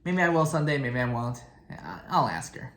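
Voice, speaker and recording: A man hosting a reaction video, giving casual, rambling commentary in a hesitant, halting, informal way. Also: mid-range voice, sing-song. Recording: roomy echo, loud show music behind.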